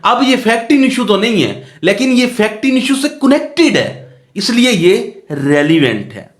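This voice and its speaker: A man giving an explanatory law lecture, mixing in English terms, repeating phrases and checking along with rhetorical questions. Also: sits high. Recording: echoey, roomy sound.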